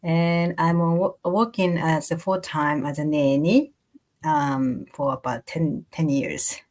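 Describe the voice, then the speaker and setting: A woman from Okinawa, Japan, co-hosting and introducing herself with simple, halting phrasing. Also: deep voice, animated, darkish tone. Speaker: co-host. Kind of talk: self-introduction in a live chat.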